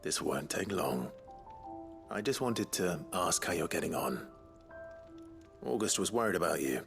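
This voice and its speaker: An actor playing an earnest, patient outlaw leader in a video game, calm and politely spoken. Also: male voice, exaggerated voice. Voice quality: low, gravelly.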